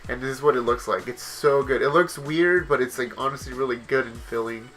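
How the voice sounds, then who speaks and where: A man's voice: medium pitch, fairly quick, fairly bright tone. A young man with a Southern California accent, nasal from a cold, doing a casual voice-over in a rambling way that corrects itself often. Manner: rambling, self-correcting, many fillers. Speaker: vlogger.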